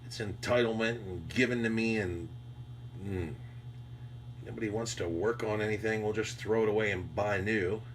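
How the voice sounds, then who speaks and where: A man's voice: fairly low pitch, fairly even intonation, moderate pace. An American man, an amateur radio operator, rambling casually and nostalgically in a reflective, conversational monologue. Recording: clearly noisy audio with hum.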